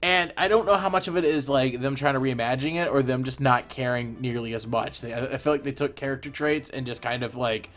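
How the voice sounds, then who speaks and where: A man's voice: mid-range voice, a little fast, hardly any pauses, a bit bright. A young man chatting casually as he animates, rambling and opinionated with lots of fillers and restarts. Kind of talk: casual commentary while animating.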